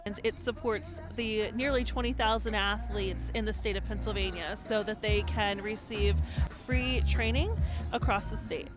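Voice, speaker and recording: A woman's voice: mid-range voice, fairly even intonation, normal speed, music in the background. A woman speaking as an event spokesperson in an interview soundbite.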